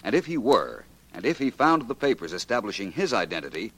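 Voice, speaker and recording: A low-pitched American detective, shrewd and sure of himself, with lively swings of intonation. He lays things out deliberately and wryly in classic 1940s radio drama style. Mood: confident explaining. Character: shrewd, self-assured male detective. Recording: vintage 1940s radio recording.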